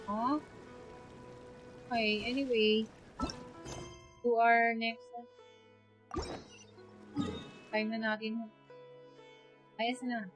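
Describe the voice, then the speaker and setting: A woman's voice: a little high, level tone, extremely slow, pauses often, over music. A woman with a Filipino accent giving casual live gameplay commentary, chatty and reacting aloud as she switches between two languages. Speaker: game streamer.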